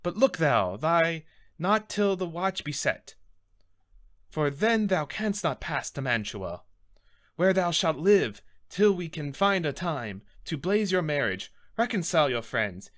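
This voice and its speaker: Classical theatre: scolding male friar man acting, declamatory and exasperated, big pitch swings, higher register. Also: fairly bright tone, heavily acted. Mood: urgent instructing. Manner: declamatory, rebuking, emphatic. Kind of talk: Shakespearean theatrical monologue.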